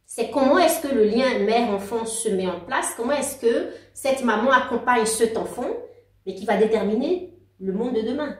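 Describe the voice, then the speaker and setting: A woman in her forties talks through her life story in a relaxed interview, warm and halting, circling back over her words. She sounds a little distant from the mic, in a roomy space, as on a video call. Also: on the high side. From outside Belgium. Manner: warm, halting, many restarts and laughs. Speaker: guest. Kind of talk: casual interview talk.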